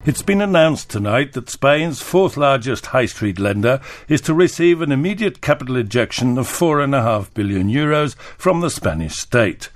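A man's voice: mid-range voice, no echo. Male newsreader in a dry studio. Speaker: radio newsreader. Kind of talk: scripted news reading.

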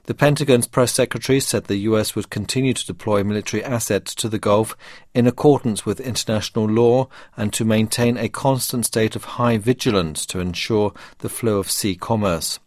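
Low-voiced male radio newsreader. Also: fairly quick, a little mellow, no room sound, studio quality. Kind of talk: scripted news bulletin reading.

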